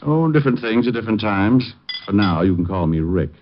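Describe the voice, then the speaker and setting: An actor playing a wisecracking hard-boiled private detective, narrating and trading dry quips in 1940s radio style. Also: male voice, lowish voice, lively intonation, fairly quick, background noise, lightly acted. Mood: flirting. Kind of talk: 1940s radio acting with first-person narration. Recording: dull lo-fi old radio recording, faint music.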